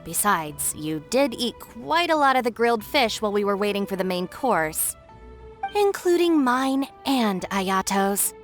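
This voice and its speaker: A woman voicing a sensible, no-nonsense young gang deputy in a video game, firm, reasoning and gently chiding. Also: mid-range voice, sing-song, unhurried, soft background music. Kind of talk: video game cutscene acting.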